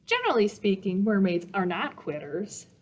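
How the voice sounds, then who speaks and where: A woman's voice: mid-range voice, big pitch swings, normal speed, darkish tone. A woman reading a children's picture book aloud as a storytime reader, with dramatic emphasis meant to engage young listeners.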